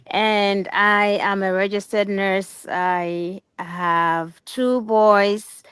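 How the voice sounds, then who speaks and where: A woman from Ghana introducing herself on a panel discussion in a calm, unhurried way. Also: mid-range voice, clean tone, dry recording. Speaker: panel guest.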